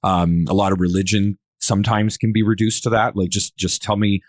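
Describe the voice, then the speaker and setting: A man's voice: on the low side, steady tone, a little fast, no echo, clean studio sound. A man hosting a podcast in casual discussion, talking in a hesitant, rambling way and often circling back to rephrase. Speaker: podcast host.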